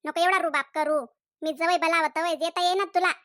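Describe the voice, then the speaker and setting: Someone doing a very high-pitched scolding Khandeshi young woman. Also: fairly even intonation, a little fast, fairly bright tone, no room sound, clean studio sound. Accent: Khandeshi (Ahirani). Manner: scolding, nagging, accusatory. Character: scolding, jealous young village woman in a comedy cartoon. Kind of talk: comedy cartoon dialogue in dialect.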